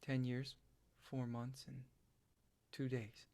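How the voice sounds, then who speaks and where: A man's voice: medium pitch, completely flat delivery, slow, mellow. An actor playing a troubled, literal-minded rural young man in a stage play reading, stammering and repeating his words. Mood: subdued, haunted.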